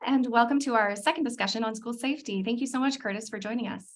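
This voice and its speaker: A woman's voice: a little high, fast, faint music behind. A woman hosting a webinar interview, welcoming and questioning her guest. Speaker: interview host.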